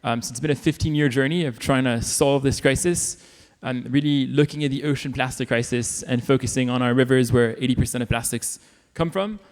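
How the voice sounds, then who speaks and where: A man's voice: medium pitch, fairly quick, few pauses. Indonesian-raised male panelist, earnest, reverberant hall. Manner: earnest, filler-heavy. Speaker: panelist, nonprofit founder. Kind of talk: panel talk.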